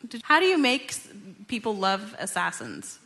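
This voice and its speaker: A woman interviewing a guest as a radio host, with halting, conversational phrasing. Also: mid-range voice, wildly swooping pitch, vocal fry, bright, forceful tone.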